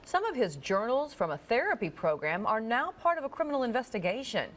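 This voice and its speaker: A woman anchoring a local evening newscast, delivering updates and breaking news in a steady, measured way. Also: a little high. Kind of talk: live local newscast reading.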